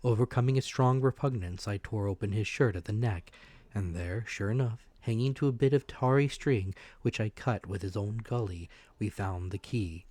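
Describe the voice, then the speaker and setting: A man giving a dramatic, scripted audiobook narration of an adventure story, measured and expressive. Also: fairly low pitch, no room sound.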